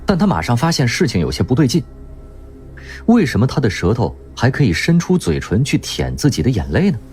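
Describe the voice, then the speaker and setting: A man's voice: mid-range voice, wildly swooping pitch, a little fast, a little mellow, soft background music. A man narrating a radio drama in a storytelling manner, with wry asides. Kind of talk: scripted audiobook-style narration.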